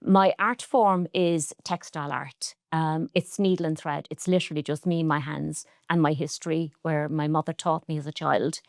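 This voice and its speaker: A middle-aged Irish woman with a Cork lilt and a lowish voice, talking in an interview. She is earnest and reflective, with a clear pride in her heritage. The sound is dry and close, with no room around it. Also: fairly even intonation, normal speed, few pauses, no echo. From Ireland. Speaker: textile artist guest. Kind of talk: relaxed interview conversation.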